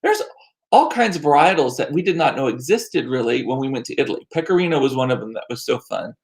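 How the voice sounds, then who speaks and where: A middle-aged American man chatting casually as a livestream host, rambling along with self-deprecating asides and animated, repeated words for emphasis. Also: medium pitch, quick pace. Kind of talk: casual chat to live viewers. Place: small home room used as studio.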